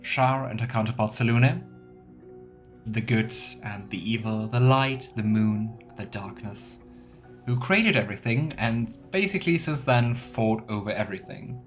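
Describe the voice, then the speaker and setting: A man's voice: lowish voice, lively intonation, a little slow. A man hosting a video game let's play, commenting and reading game texts aloud over game music. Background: soft game music.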